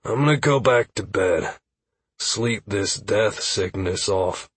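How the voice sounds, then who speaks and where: Man playing a drained, husky adventurer. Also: fairly low pitch, lively intonation, slow pace, a bit bright, dry recording, exaggerated voice. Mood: depressed. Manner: breathy, downcast. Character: drained, relieved male adventurer sick from resurrection.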